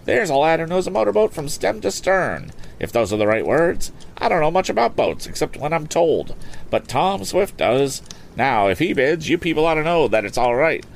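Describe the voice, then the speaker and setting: A man voicing a middle-aged male auctioneer who talks in brisk sing-song sales patter. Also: fairly high pitch, very animated, vocal fry, a little hiss or hum, voice pushed up. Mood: bantering.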